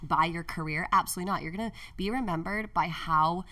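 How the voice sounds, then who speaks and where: A woman's voice: fairly low pitch, fast talker, bright, forceful tone, no room sound, distant mic. A woman in her late twenties, a podcast guest, chatting casually and earnestly about her career, with many "like" fillers. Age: 20s. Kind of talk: casual interview chat. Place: podcast studio with window. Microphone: studio mic on stand, headphones.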